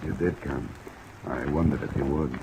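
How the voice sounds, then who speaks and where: A low-voiced, terse radio detective. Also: male voice, steady tone, moderate pace, vocal fry. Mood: probing. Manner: terse, probing. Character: male freelance insurance investigator, probing, cool, resolute. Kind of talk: 1950s radio detective drama acting. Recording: old radio recording with loud rain noise.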